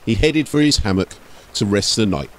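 A man's voice: on the low side, expressive, normal speed. A man narrating from a script as an audiobook storyteller and host.

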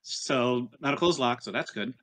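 A man's voice: medium pitch, normal speed, fairly bright tone, no echo, poor audio. A man chatting casually as a player in a tabletop game.

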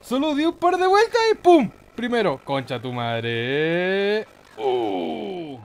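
A man with a Chilean Spanish accent giving casual, excitable let's play commentary in a playful, slangy, exclamatory manner. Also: high-pitched, takes it slowly. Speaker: gaming YouTuber, host.

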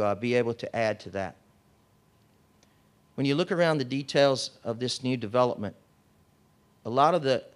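A man giving a conference speech as an energy company executive, in a measured, formal way. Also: mid-range voice. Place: hotel conference room.

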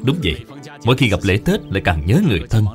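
An actor playing an affable, polite elder brother, a merchant in an animated tale of ancient China. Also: male voice, on the low side, quick pace, over music. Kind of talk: animated film dubbing.

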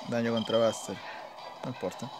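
A man's voice: mid-range voice, steady tone, normal speed. A man with a Chilean Spanish accent gives casual, slangy live gameplay commentary, muttering to himself as he plays. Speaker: gamer, video creator. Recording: game music behind voice. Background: mobile game battle music.

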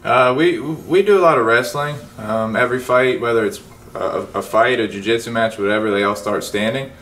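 A man's voice: mid-range voice, moderate pace, fairly bright tone, far from the mic, slight background noise. A man in his thirties with a Kentucky accent, a gym coach answering interview questions in a laid-back, plain-spoken way.

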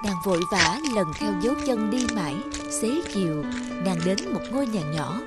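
A woman giving scripted storybook narration for an animated fairy tale. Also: medium pitch, normal speed. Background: loud music.

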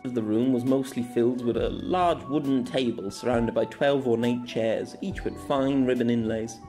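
A man's voice: mid-range voice, fairly even intonation, moderate pace, dark tone. Male story narrator over music.

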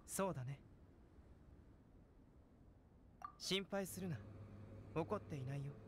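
A man voicing a calm, gentle, scholarly young alchemist in an anime game, composed and measured. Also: on the high side, background noise, natural voice. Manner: composed, measured, softly probing.

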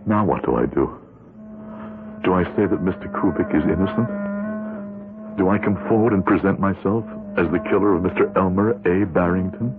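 Deep-voiced, guilt-ridden American accountant. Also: male voice, moderate pace. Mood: brooding. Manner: hesitant, brooding. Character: outwardly respectable accountant hiding guilt, anxious and calculating. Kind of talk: 1970s radio drama acting, with inner monologue. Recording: muffled old radio broadcast with music behind.

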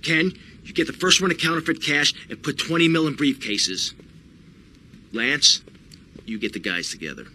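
An actor playing a hot-tempered, commanding young crime boss in a video game, with a curt, tough manner. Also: male voice, fairly high pitch, very animated, moderate pace, pauses often, pushed and bright, a little hiss or hum, exaggerated voice. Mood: angry, forceful. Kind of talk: video game cutscene acting.

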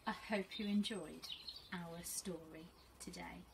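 A middle-aged woman reads a children's story aloud like a teacher, expressive and deliberate, outdoors. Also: lowish voice, creaky. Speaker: first school teacher reading to pupils. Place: small fenced garden, outdoors. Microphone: camera a few metres away. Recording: outdoor camera audio, faint birdsong and noise.

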